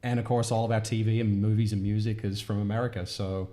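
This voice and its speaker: A man's voice: on the low side, little pitch movement, fast, mellow. A man with an Australian accent, a comedian guest chatting easily on a panel. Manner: easygoing, chuckling. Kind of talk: casual panel chat.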